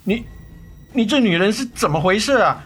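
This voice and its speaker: A man's voice: high voice, lively intonation, normal speed, fairly bright tone. A man acting a cheating husband and father in a radio drama, by turns defensive and pleading, with emotional, faltering delivery. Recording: studio radio drama with music underneath.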